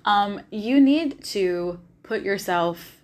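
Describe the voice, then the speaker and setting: An enthusiastic woman with a Southern American accent talks to her podcast listeners in a loose, motivational monologue. She fills her speech with "like" and "um", swears without hesitation and sits at a middle pitch for a woman. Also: animated, extremely slow. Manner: enthusiastic, uses fillers and swearing freely. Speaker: podcast host.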